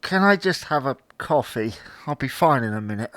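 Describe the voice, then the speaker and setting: A man's voice: mid-range voice, expressive, moderate pace, a bit bright, no echo, studio quality, close to an everyday voice. A man playing a grumpy, whining, babyish husband in an audio comedy drama, grouchy and plaintive in manner. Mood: grumpy, sulky.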